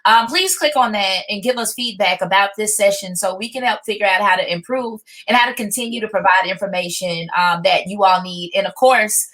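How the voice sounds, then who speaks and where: Woman, mid-range pitch, seminar moderator, polite, informal, improvised announcements. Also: a little fast, few pauses, fairly bright tone. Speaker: host, moderator.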